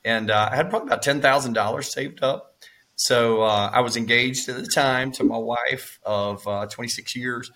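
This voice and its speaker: A middle-aged man with a cold-roughened, raspy voice, telling his story as a podcast guest with many fillers and restarts. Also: mid-range voice, fairly even intonation, moderate pace, bright, forceful tone. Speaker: real estate investor guest. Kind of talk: casual storytelling interview.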